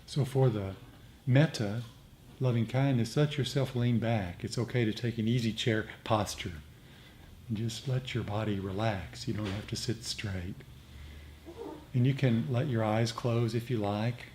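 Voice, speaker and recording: A man gently instructing a meditation group in an informal, conversational way. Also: medium pitch, unhurried. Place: indoor meditation group meeting. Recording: clearly noisy room recording.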